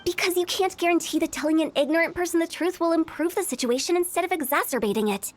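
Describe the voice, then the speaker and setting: A woman's voice: extremely high pitch, quick pace, slight background noise. An actress playing a playful, shrewd young woman who runs a funeral parlor in a game, confident and teasing. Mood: explaining. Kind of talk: game voice acting.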